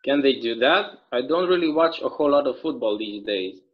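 A man's voice: mid-range voice, expressive, fairly quick, slightly rough. A man reading the part of a chatty small-town bartender who rambles through his stories, in a video-call table read. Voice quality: easygoing, rambling storyteller. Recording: dull lo-fi video call audio.

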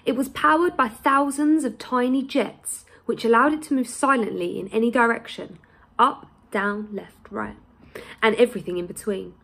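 A woman in her thirties narrates a children's story in a fairly high voice with a husky edge. She reads deliberately and expressively, pausing for drama as a primary teacher would. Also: fairly bright tone. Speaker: primary school teacher reading aloud. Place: small plain room indoors.

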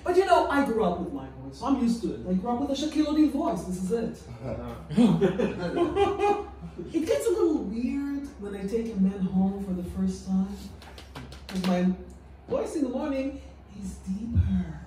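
A woman from Israel with an Israeli accent and a low, deep voice, performing a stand-up set with deadpan, self-mocking delivery on a small club stage into a handheld mic. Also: moderate pace, pauses often. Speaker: stand-up comedian. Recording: echoey club, heard from a distance.

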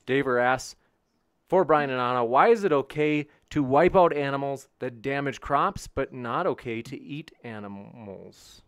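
A man with a smooth, resonant voice moderates an online debate, reading out viewer questions in a brisk, businesslike way. The sound is dry and close to the microphone. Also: medium pitch, no room sound.

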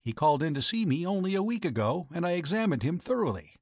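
A man's voice: on the high side, very animated, quick pace, darkish tone, breathy edge, no echo, clean studio sound, voice pushed up, exaggerated voice. A man voicing a young doctor in an audiobook story, frank, puzzled and emphatic. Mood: brisk, puzzled. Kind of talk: audiobook character voice.

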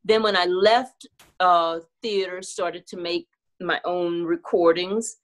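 A woman's voice: lowish voice, fairly even intonation, slow. A middle-aged woman, a singer, talking candidly and reflectively in a casual video-call interview from a room at home. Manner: candid, reflective, laughs easily. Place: home room with clothing rack. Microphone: video call webcam.

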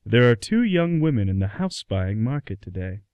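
A man's voice: medium pitch, very animated, normal speed, no room sound, dull audio. A man reading scripted narration for a radio play, introducing scenes and characters.

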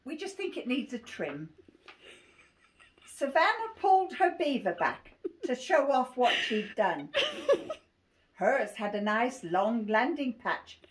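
Woman, 80, English accent. Unhurried, amused picture-book reading prone to giggles, high-pitched, recorded at home with faint noise. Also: very animated. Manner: giggly, often breaking into laughter while reading. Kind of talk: amused read-aloud of a rhyming picture book. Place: small cluttered living room. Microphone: phone camera a few feet away. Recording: faint noise, clicks, home phone video.

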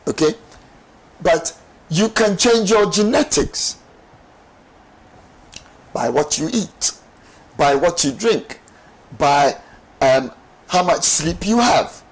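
Slow, higher-pitched man explaining. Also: animated, rough recording. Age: middle-aged. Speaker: studio guest. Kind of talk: explanatory talk-show discussion. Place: TV studio lounge set. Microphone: lapel mic.

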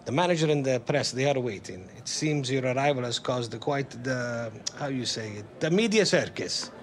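An actor playing a courteous middle-aged football club official in a game cutscene, formal and careful, with a foreign European accent. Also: male voice, medium pitch, animated, moderate pace, lightly acted. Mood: gracious. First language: not English. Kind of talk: video game cutscene acting.

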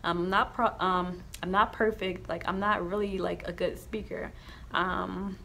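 A woman's voice: on the low side, steady tone, unhurried, gravelly, bright, forceful tone, slight background noise. A young woman with a slight lisp talks casually to the camera in a small room, rambling with many ums and restarts. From New Jersey, USA. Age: young adult. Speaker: YouTuber sharing her testimony. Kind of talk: casual vlog talk to camera.

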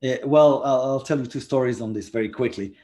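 Israeli-accented man, halting and filler-heavy. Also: mid-range voice, dark tone. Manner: hesitant, many fillers and restarts. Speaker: guest diplomat. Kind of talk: video call interview. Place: home room indoors. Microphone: video call.

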